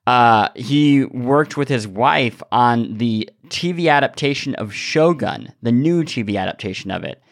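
A man's voice: medium pitch, lively intonation, unhurried, few pauses. A man hosting a podcast in casual chat, telling stories in a rambling way with repeated words. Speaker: podcast host.

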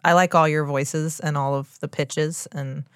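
Low-voiced female podcast co-host. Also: steady tone, unhurried, clean tone, no room sound, clean studio sound. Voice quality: deeper voice than before. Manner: earnest, careful, explains things patiently. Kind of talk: casual chat.

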